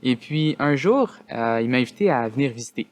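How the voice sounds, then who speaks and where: A young man from northern Ontario with a Canadian French accent, giving an earnest personal testimonial as a student resident, often pausing on fillers and restarting his phrases. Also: mid-range voice, animated. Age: young adult. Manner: earnest, hesitant with frequent "euh" fillers and restarts.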